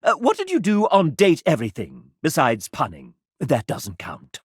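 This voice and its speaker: A man voicing a sassy, pompous, playful gentleman who hosts and narrates a video game in a showy, theatrical way. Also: fairly high pitch, moderate pace, slightly rough, no room sound, studio quality, full character voice. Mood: dry, sardonic. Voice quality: animated theatrical delivery. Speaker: game show style host and narrator.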